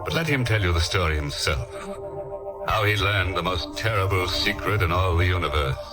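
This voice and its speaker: A man's voice: deep voice, lively intonation, normal speed, over music. A man playing a jovially ghoulish 1940s radio horror host in a theatrical, mock-sinister manner, on a vintage recording. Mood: ominous.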